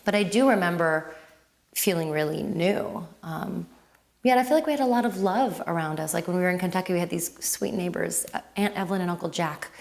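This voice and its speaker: A woman with a low voice and a light Lebanese accent, her first language Arabic. She talks as a guest in a relaxed interview, thinking aloud, circling back and restarting her sentences. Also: a little fast, gravelly. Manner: reflective, with frequent fillers and restarts. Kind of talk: casual conversational interview.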